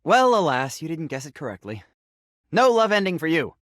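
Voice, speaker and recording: A man's voice: a little high, big pitch swings, moderate pace, fairly bright tone, no echo, clean studio sound. A man voicing a friendly, geeky, playful game writer in a video game, chatty, informal and enthusiastic. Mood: cheerful, encouraging. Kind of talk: video game character voice acting.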